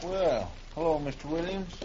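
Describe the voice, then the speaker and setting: A man's voice: fairly high pitch, animated, moderate pace, very noisy, close to an everyday voice. A man playing an earnest, loyal marshal's helper in a 1950s radio western, deferential, with folksy country speech. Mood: curious, deferential. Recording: muffled old 1950s radio recording.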